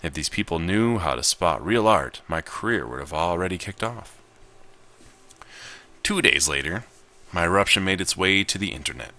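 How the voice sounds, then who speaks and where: A man's voice: sits low, lively intonation, moderate pace, pushed and bright. A man reading a visual novel aloud as he plays it, with casual commentary. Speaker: gamer narrating his gameplay.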